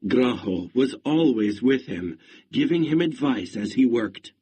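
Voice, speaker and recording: Slow, husky male storybook reader. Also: medium pitch. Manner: slow, expressive reading. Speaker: story narrator. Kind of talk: read-along storybook narration.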